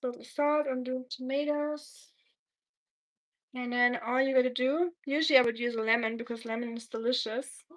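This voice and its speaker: A woman's voice: high-pitched, steady tone, normal speed, fairly bright tone. A woman from Germany with a German accent, chatting casually while cooking on a livestream and answering viewer comments.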